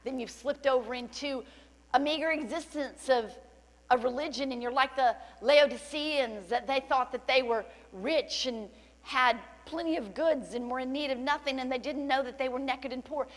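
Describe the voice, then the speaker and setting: A middle-aged woman pastor preaching a sermon with fervent praise and scripture reading, her voice slightly cracking from loudness. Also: high-pitched, moderate pace. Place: large church stage indoors. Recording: long hall reverb, faint hum.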